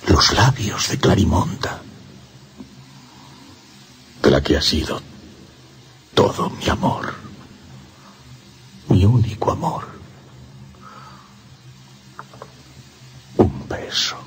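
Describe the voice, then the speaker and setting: A tormented priest in love, castilian spanish radio drama, super low voice, swoops around a lot. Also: male voice, sing-song, heavily acted. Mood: anguished tenderness. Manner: slow, impassioned, breathy dramatic delivery. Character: anguished lovestruck priest recounting his haunted romance. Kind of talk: radio play acting.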